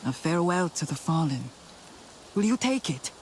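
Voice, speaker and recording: A formal earnest hunter from a tribe in a game, highish voice, some noise. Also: male voice, sing-song, moderate pace, rough recording. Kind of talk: video game voice acting.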